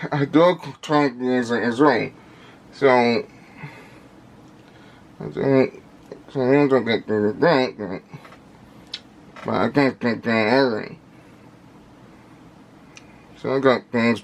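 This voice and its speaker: A man talking casually and unscripted in an unboxing video, with halting, indistinct speech and frequently repeated words. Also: medium pitch. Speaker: vlogger unboxing audio gear.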